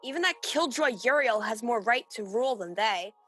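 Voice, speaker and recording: An actress playing a haughty, impatient rebel angel in a radio play, with a sharp, contemptuous way of talking. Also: female voice, a little high, animated, moderate pace. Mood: scornful. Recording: radio play recorded over video call, music behind.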